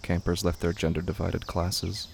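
A man reading scripted horror fiction as a narrator, in deliberate, dramatic phrases with pauses. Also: deep voice, little pitch movement, gravelly, slight background noise.

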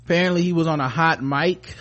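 A man's voice: on the high side, steady tone, quick pace, fairly bright tone, dull audio. A man hosting a podcast with casual commentary, talking in a rambling, informal way full of slang and swearing. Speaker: podcast host.

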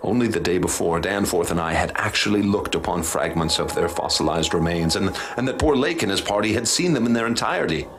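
An actor playing a haunted male professor, speaking in a formal, measured, ominous way in a radio drama. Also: deep voice, animated. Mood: ominous, troubled. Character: grave haunted male professor recounting horrors he witnessed. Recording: clear background noise and ambience.

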